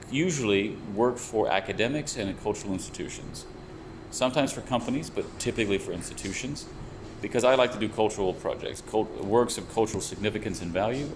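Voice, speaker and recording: A young man with a very low voice talks through his ideas slowly and carefully, often restarting a phrase. An air conditioner hums steadily behind him. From United States. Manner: thoughtful, measured, with frequent restarts. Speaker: VR researcher and developer. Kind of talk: explanatory interview talk. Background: air conditioning hum.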